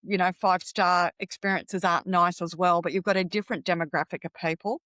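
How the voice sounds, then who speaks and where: Australian woman, slightly low voice. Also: steady tone, a little fast, fairly bright tone, dry recording. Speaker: podcast guest, travel coach. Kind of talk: conversational interview advice.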